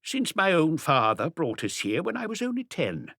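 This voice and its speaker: A man's voice: a little high, wildly swooping pitch, normal speed, vocal fry, pushed and bright, no echo, clean studio sound, voice pushed up, full character voice. A man voicing a chatty elderly bird seller in an audiobook, folksy, gossipy and wistful as he reminisces. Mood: proud reminiscing. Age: older. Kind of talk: audiobook character dialogue.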